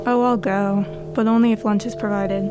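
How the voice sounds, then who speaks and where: A woman playing a callous, greedy gossip, brisk and flippant, in an old-time radio drama. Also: mid-range voice, fairly even intonation, over music, close to an everyday voice.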